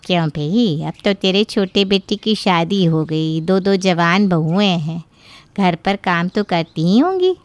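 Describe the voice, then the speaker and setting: A woman playing an inquisitive, familiar middle-aged neighbour and friend in an animated story. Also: on the low side, unhurried, no room sound. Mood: curious, teasing. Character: curious, sympathetic gossipy neighbour woman friend. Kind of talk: animated story character dialogue.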